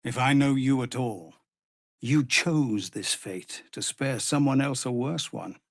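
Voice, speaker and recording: An actor playing a weary, honourable tiefling ex-paladin leading refugees in a fantasy game, husky and breathy, with grave, measured, formal delivery. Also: male voice, medium pitch, dry recording, clean studio sound. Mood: measured, earnest. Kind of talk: dramatic fantasy game voice acting.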